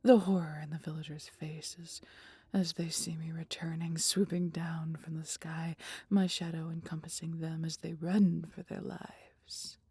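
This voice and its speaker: Woman acting as a female dragon, low-pitched, heavy breathy husk, theatrical archaic speech, proud but caring, fantasy audio drama. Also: flat delivery, mellow, no room sound, exaggerated voice. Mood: wistful, dramatic relish. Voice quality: breathy, husky. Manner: slow, archaic, theatrical phrasing.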